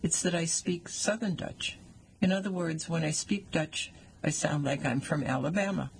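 A man's voice: fairly high pitch, normal speed, gravelly, slight background noise, low-quality recording. A man in his sixties reading a personal essay aloud with wry, dry humor.

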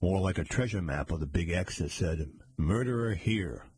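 A man voicing a sardonic man telling a story over drinks, with a husky voice and dry humor. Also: sits low, lively intonation, normal speed, darkish tone, exaggerated voice. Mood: wry.

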